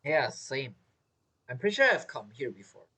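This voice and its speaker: A woman's voice: very deep voice, very animated, moderate pace, fairly bright tone, husky. A woman giving casual, rambling let's-play commentary, reacting aloud as she plays. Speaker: game streamer.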